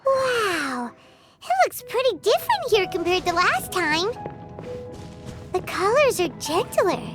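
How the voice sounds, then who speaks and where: A woman voicing a small, brash and loyal female fairy companion in a video game, with a high, squeaky, excitable voice. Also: slow, over loud music, exaggerated voice. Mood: curious.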